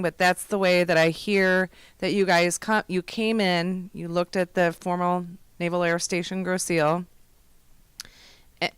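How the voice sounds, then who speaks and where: Clear, low-voiced woman at a public meeting. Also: no echo. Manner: appreciative, talks through points out loud. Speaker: local official thanking presenters. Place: township hall meeting room.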